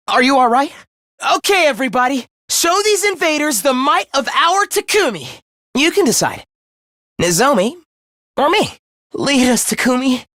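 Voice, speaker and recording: A man's voice: very high-pitched, fairly bright tone, no echo, clean studio sound, exaggerated voice. An actor voicing an obsessive young man in a video game, devoted to his comrade as to a god, with theatrical, intense, florid delivery. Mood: excited, fervent. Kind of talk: English video game voice acting, dramatic monologue.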